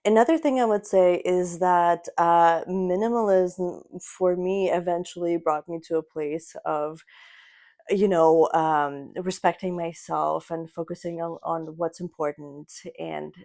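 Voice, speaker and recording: A woman in her thirties, a native Russian speaker, co-hosting a casual podcast from her apartment couch, animated and quick to laugh. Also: on the low side, creaky. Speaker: podcast co-host. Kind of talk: casual chat. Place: living room couch in an apartment.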